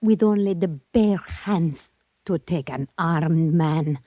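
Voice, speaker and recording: An actress playing a mysterious foreign woman in an old radio adventure, bold and flattering, speaking in formal, exotic phrases. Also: low voice, slow pace, dry recording. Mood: admiring, seductive. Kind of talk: 1940s-50s radio adventure acting. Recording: muffled old-time radio recording.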